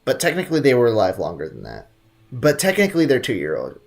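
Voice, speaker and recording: A man's voice: medium pitch, huge pitch swings, fast, gravelly. A young adult man giving casual, slangy live gameplay commentary with jokey asides. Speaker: game streamer. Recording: game music behind.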